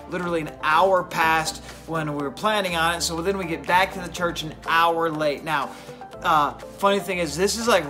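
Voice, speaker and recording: Chatty youth pastor in his forties. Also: fairly high pitch. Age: 40s. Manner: relaxed, chatty, self-deprecating. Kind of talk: casual vlog narration.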